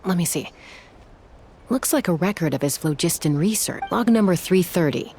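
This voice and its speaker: A woman's voice: on the low side, lively intonation, moderate pace, darkish tone, slight background noise. An actress playing a confident, pragmatic young adventuring woman in a video game, businesslike with a wry edge. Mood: focused, brisk. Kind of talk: video game character acting. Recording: game music behind the voice.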